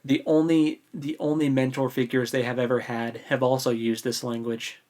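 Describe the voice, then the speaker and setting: A man's voice: mid-range voice, level tone, moderate pace, darkish tone. A man speaking casually and informally as a podcast co-host in a book discussion, often rephrasing himself as he goes.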